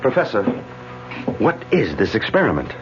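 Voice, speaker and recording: Agitated skeptical doctor, animated voice. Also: male voice, on the low side. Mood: alarmed. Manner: agitated, halting, formal. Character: skeptical, alarmed man of science, formal doctor. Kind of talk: radio drama acting. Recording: dull lo-fi vintage radio broadcast, music.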